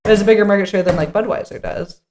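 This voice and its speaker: Woman, podcast co-host, mid-range pitch, playful, jokey, casual conversational delivery. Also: very fast, a little mellow, slight background noise. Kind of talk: casual podcast chat, partly reading from notes.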